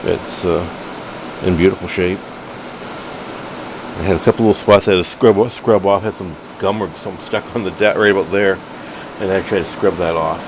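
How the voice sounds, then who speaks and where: A middle-aged man giving a casual, unscripted hobbyist demo at home, halting and rambling as he corrects himself. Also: deep voice. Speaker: hobbyist collector reviewing a radio. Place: room at home, indoors. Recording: noisy room with steady background hum.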